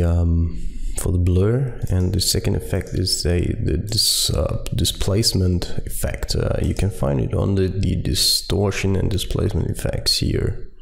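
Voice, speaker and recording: A man with a German accent, a German native speaker, explaining a software tutorial step by step with many ums and uhs. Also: sits low, gravelly. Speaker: tutorial host.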